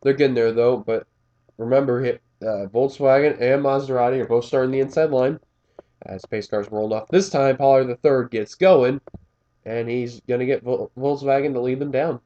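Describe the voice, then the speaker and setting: A man's voice: medium pitch, fairly even intonation, moderate pace, mellow, dull audio. Scratchy-voiced man calling a race. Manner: casual, rambling asides with frequent fillers and self-corrections. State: slightly scratchy voice. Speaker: race commentator. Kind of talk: play-by-play commentary of a simulated race.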